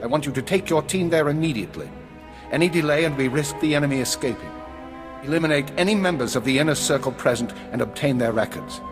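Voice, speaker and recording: An actor playing a grave, authoritative older intelligence minister, speaking in a measured, formal way in a video game. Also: male voice, fairly high pitch, over music, close to an everyday voice. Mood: grave, commanding. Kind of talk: video game drama acting.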